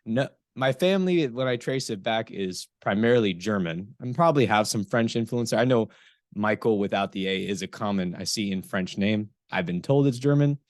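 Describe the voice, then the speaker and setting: Man, podcast host, very low voice, friendly, affirming, casual. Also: animated, moderate pace, no echo, studio quality. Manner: friendly, affirming, laughs often.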